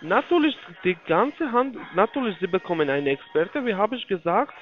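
A non-native speaker, a man with a foreign accent, giving a phone sales pitch in halting, repetitive sentences with broken grammar. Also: expressive. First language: not German (non-native speaker). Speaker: investment telephone salesman. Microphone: phone call. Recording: dull, lo-fi phone call audio.

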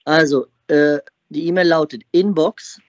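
A man's voice: on the high side, fairly even intonation, measured pace, no echo, highs cut off. A man in a phone conversation, speaking bluntly and demandingly as he directs the call and questions the other party.

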